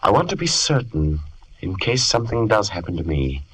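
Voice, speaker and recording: An actor playing an obsessed gentleman scientist with a dark secret, theatrical and intense, in an old radio horror drama. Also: on the low side, big pitch swings, normal speed, slightly rough, exaggerated voice. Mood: smoothly evasive.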